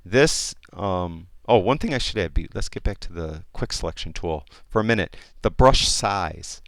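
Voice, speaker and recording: A man explaining a photo editing program in a casual, rambling tutorial, with many fillers and restarts, and a voice that is getting hoarse. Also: low voice, expressive, normal speed, no echo. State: losing his voice. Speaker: software tutorial instructor. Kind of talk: casual screen-recorded tutorial.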